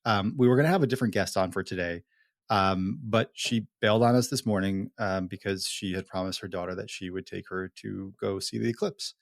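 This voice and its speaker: A man's voice: low voice, fairly even intonation, a little fast, vocal fry, dry recording, clean studio sound. A man hosting a podcast, giving playful, teasing introductions to his guests over a video call. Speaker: podcast host. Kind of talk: casual podcast chat.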